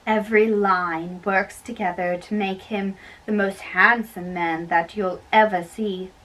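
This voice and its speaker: A woman's voice: mid-range voice, fairly even intonation, measured pace, fairly bright tone, distant mic, slight background noise, close to an everyday voice, poor audio. A woman playing a persuasive mother and lady of the house in an amateur play reading, coaxing and declaiming, off the mic.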